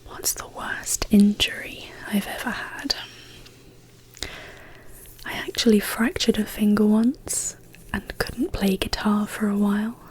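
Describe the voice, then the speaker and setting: A woman speaking as an ASMR host in a hushed, whispered, casual question-and-answer style. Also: medium pitch, steady tone, husky, poor audio. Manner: slow, hushed, gently paced delivery.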